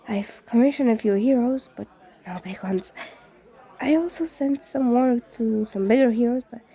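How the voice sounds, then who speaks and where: A woman's voice: on the high side, mellow, breathy edge. A woman voicing an anxious, self-doubting middle school boy in a story reading, hesitant and easily flustered.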